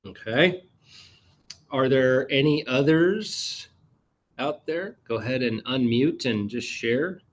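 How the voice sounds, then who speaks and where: A man's voice: medium pitch, slow. A man running a club meeting as president on a video call, with hesitant fillers.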